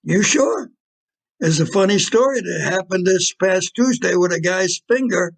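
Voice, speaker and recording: An older man acts out a hitman boyfriend in an audio play. He is smooth and casual with an undertone of menace. His voice is high for a man and gravelly with age. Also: lively intonation, unhurried, exaggerated voice. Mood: casual, coaxing. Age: 70s.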